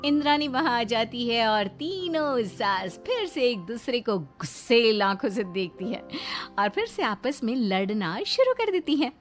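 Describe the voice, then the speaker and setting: Lady narrator for a cartoon moral story, scripted read, slightly high voice, music behind. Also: sing-song, measured pace, few pauses.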